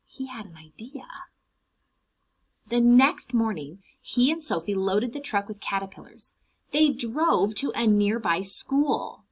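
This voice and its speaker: A woman reading a children's storybook aloud in an expressive way, explaining things simply to young children. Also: fairly high pitch, slow, husky. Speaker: read-aloud host for preschoolers.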